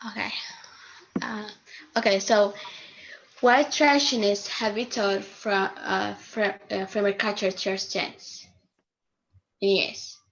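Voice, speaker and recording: A woman on a video call, asking the guest a question slowly and haltingly. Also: medium pitch, steady tone, creaky, fairly bright tone. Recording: muffled video call audio with light noise.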